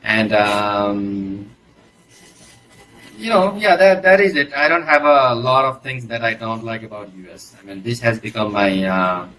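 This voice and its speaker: Bright-voiced Nepali man, chatting. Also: on the low side, expressive, unhurried, low-quality recording. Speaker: interview guest, engineer. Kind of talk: casual interview talk.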